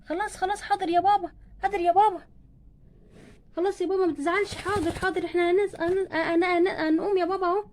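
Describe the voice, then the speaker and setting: A woman's voice: extremely high pitch, fairly even intonation, very fast, darkish tone. A woman voicing a frightened, clingy little girl in doll play, whiny and pleading, with an Egyptian accent. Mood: apologetic. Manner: whiny, pleading, repeats herself.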